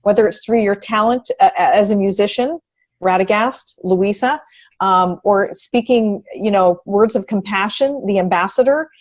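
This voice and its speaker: A woman speaks casually as a member of a panel. She has a medium-pitched voice and an effusive manner. She talks off the cuff and repeats phrases to stress them. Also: a little slow. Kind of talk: casual unscripted panel remarks.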